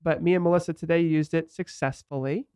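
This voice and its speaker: A man's voice: on the high side, steady tone, fairly quick, a crowd in the background. A man hosting a casual studio podcast, nasal, rambling with lots of fillers and acted-out quotes. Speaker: host.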